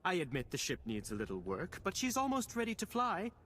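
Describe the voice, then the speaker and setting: An actor playing a smug, unflappable crooked alien boss in a video game, smooth-talking and flippant as he haggles. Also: sits high, huge pitch swings, fairly quick, pushed and bright, a little hiss or hum, heavily acted. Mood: slick bargaining. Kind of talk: video game cutscene acting.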